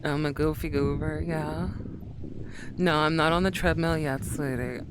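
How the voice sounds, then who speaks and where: A woman's voice: deep voice, steady tone, a little slow. Chatty streamer woman with fan noise. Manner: rambling, affectionate, calls viewers sweetie. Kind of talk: casual chat with viewers.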